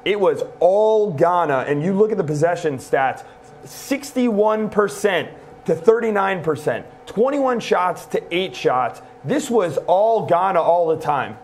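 Man host, soccer analysis, fairly high voice, lapel mic, studio, faint hum. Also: expressive, measured pace. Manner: leads the discussion, recaps stats. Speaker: show host. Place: TV studio desk.

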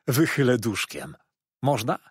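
Storybook role: sly jovial servant, acted by a man, talkative and dramatic, very animated delivery with a rough edge. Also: mid-range voice, a little slow, no echo, studio quality, full character voice. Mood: wheedling. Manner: chatty, exclaiming, theatrically dramatic. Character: sly, jovial manservant with a hearty appetite. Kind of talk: dramatised audiobook reading.

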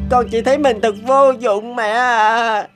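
A woman voicing an earnest, wounded young orphan demon in an animated fairy tale, pleading and plaintive. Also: a little high, unhurried, music in the background, natural voice. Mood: heartbroken.

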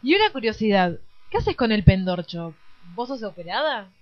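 A woman voicing a brisk, nosy cable talk show hostess in a parody radio play. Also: medium pitch, big pitch swings, natural voice. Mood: prying. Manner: presenterly, prying. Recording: dull lo-fi audio.

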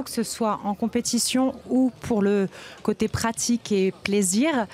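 A woman's voice: fairly high pitch, a little mellow. A young woman presenting and putting questions to guests at an outdoor event, using a handheld microphone. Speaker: interviewer, presenter. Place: outdoors at a snowy ski resort event. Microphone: handheld reporter microphone.

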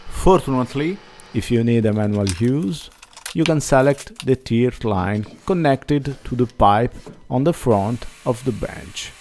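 A man's voice: mid-range voice, measured pace, darkish tone, a little hiss or hum. A man in his forties, host of a woodworking channel, giving a plain scripted voice-over that walks through a build in slightly non-native phrasing. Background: faint background music.

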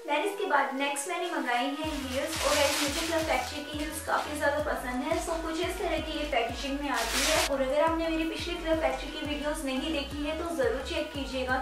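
A chatty young woman from India with a high, bright-toned voice, talking viewers through her shopping haul. She sounds a little off-mic in a very reverberant room, with music playing under her voice. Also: distant mic. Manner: chatty, persuasive, addresses viewers as guys. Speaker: fashion vlogger. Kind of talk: casual shopping haul talk. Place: indoor studio with purple backdrop.

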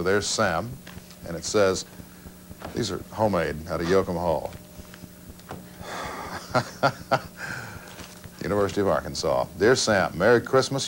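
An older man hosting a call-in TV show, chatting casually in a folksy, dryly joking way. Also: on the low side. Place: small TV studio. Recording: noisy old TV broadcast tape.

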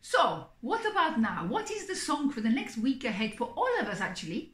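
A woman's voice: sits high, huge pitch swings, normal speed, a bit bright. A middle-aged woman chatting expressively and theatrically to camera as a psychic entertainer hosting her own vlog. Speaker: singing psychic performer and host. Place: small indoor room, seated on a sofa. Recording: echoey room.

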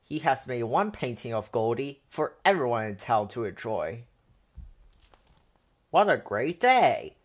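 Female storybook reader, low register, animated intonation, deliberate read-aloud for young children. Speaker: picture book reader for children.

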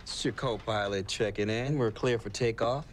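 A man's voice: lowish voice, moderate pace, a little hiss or hum, close to an everyday voice. An actor playing a crude, cocky young man who jokes lewdly with his buddy. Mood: lewd, teasing.

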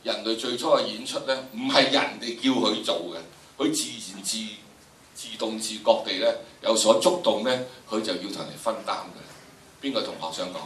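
An older man with a Hong Kong Cantonese accent, teaching a drama workshop to students in a theatrical, animated lecturing manner. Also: on the low side, normal speed, a little hiss or hum. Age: older, about 70s. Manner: theatrical, acting out examples. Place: large lecture hall with student audience. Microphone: handheld microphone through room speakers, recorded from the audience. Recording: echoey lecture hall, distant pickup.

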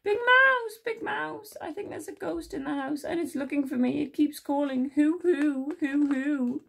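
A woman's voice: very high-pitched, very animated, measured pace, vocal fry, pitched above the performer's own voice, exaggerated voice. A woman voicing a small, fretful young male mouse in a children's story, calling out and pleading urgently. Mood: frightened.

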